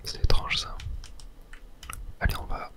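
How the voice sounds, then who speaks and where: A man speaks entirely in a soft whisper, close to the microphone. He murmurs casual video game commentary in an ASMR style, talking gently to his viewers as he plays. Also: a little slow.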